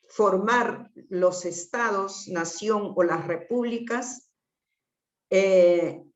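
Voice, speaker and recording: Slow, measured woman speaking in an echoey room. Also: mid-range voice, muffled recording. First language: Quechua. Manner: measured and deliberate, with frequent pauses and "eh" fillers. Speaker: invited panelist. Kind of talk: formal talk on a video call.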